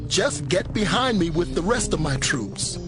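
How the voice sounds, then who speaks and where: A man's voice: sits high, big pitch swings, a little slow, music in the background. A man playing a brusque, commanding army officer newly made king in a dramatized audio Bible.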